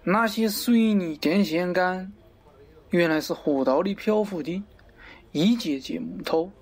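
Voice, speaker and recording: A man's voice: high voice, a little slow. A man playing a pompous middle-aged wholesale market director in a parody radio drama, avuncular and lecturing, crude yet fond of poetry. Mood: grandly reciting. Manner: avuncular, lecturing, theatrical, abruptly swearing.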